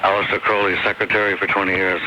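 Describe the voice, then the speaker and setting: Male phone-in caller, very tense sharp tone, halting phrasing, muffled shortwave sound with faint noise. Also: low-pitched, flat delivery. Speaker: caller to a radio show. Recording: dull noisy phone call over shortwave radio.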